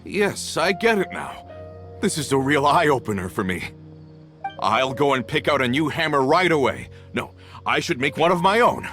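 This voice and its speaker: A man's voice: fairly high pitch, big pitch swings, moderate pace, a bit bright. An actor playing a tired, plain-spoken working man and father in a game, with faint music behind. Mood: grateful. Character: weary working-class carpenter father, adult man. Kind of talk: game voice acting.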